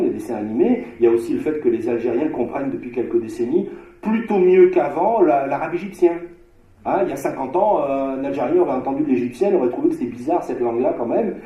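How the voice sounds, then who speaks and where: A man from Marseille giving an academic conference lecture, discursive, with frequent filler pauses and restarts. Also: on the high side, warm tone, roomy sound, poor audio. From Marseille, France. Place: lecture hall.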